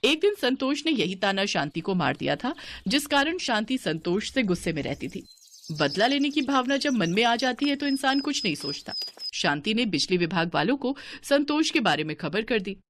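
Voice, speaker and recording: A woman giving steady, scripted narration for a cartoon moral story. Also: on the high side, normal speed, a little hiss or hum.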